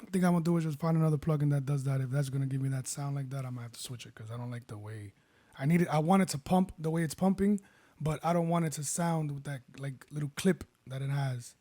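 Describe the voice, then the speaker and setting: A man with a baritone voice, casually walking viewers through making a beat and rambling as he goes. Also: fast talker. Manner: relaxed, rambling, self-correcting. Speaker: beat producer. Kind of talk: casual tutorial talk. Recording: faint music behind.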